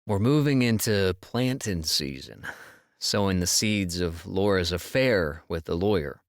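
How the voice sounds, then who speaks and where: A man playing an easygoing, sensitive husband in a con crew, speaking in a measured way in an audiobook drama. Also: sits low, expressive, no echo, clean studio sound. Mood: dry, amused. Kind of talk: full-cast audiobook dialogue acting.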